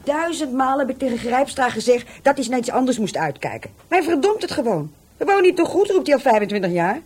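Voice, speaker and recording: A grumbling middle-aged housewife in a radio drama. Her husky voice sits high and swings widely as she complains with blunt sarcasm. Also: very animated, quick pace, darkish tone, heavily acted. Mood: grumbling, sarcastic. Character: nagging, grumbling middle-aged policeman's wife. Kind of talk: Dutch radio play acting.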